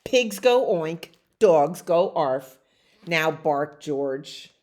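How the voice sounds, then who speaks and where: A woman voicing a patient mother dog in a children's story, correcting her pup in a low, even tone. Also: drawn-out words, full character voice.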